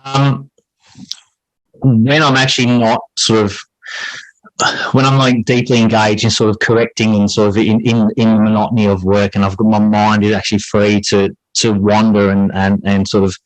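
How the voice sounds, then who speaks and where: Male interviewee, deeper voice, dry recording, earnest and reflective, hedges as he goes. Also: level tone, moderate pace, no room sound, poor audio. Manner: earnest, hedging with repeated "sort of". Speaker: podcast guest, high school English teacher and writer.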